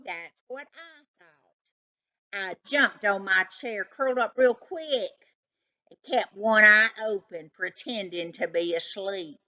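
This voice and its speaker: Woman reading as dramatic storybook cat, slow and suspenseful. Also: mid-range voice, fairly bright tone, muffled recording. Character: Chester, excitable suspicious male house cat recounting his stakeout.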